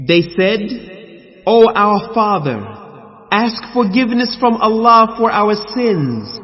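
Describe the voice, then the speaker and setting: Slow, high-voiced American man reading in reverb. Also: lively intonation. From USA. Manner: measured, declamatory, with emphatic phrases. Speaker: reader of scripture translation. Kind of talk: solemn scripted scripture reading. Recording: long reverb, slightly muffled sound.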